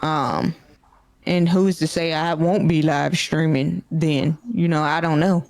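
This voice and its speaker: A woman in her late twenties, a streamer guest, explaining her work in a measured way with many fillers. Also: sits low, steady tone, darkish tone, no echo. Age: 20s. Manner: measured, explanatory, full of "you know". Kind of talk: casual interview chat.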